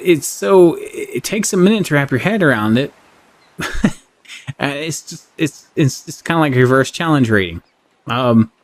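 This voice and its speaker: A man giving a casual game review, rambling and thinking aloud as he goes, with an easy readiness to chuckle. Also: medium pitch. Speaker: gaming channel host. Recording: clearly audible background noise.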